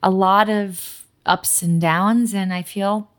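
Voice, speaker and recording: A woman in her thirties from Massachusetts, with Polish as her first language, guesting on a podcast and telling stories in an animated, candid way. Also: mid-range voice, takes it slowly. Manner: animated storyteller, candid and warm-hearted. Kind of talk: casual podcast chat.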